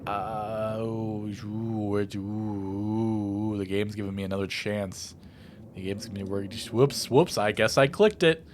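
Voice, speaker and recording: A man's voice: lowish voice, slow, noisy background. A man narrating a video game playthrough, reading the text aloud theatrically and adding his own casual commentary. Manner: expressive, theatrical read-aloud delivery. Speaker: let's play host.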